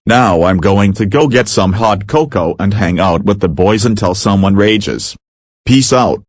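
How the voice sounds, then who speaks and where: A man's voice: on the low side, animated, measured pace, no echo, clean studio sound. A man playing a laid-back local radio announcer, giving a scripted, informal weather update.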